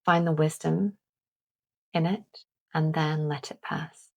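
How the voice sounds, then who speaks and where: Slow, low-pitched woman guiding meditation. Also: level tone, vocal fry. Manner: gentle, deliberate, with long pauses. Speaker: guided meditation host. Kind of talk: guided visualisation, calming meditation narration.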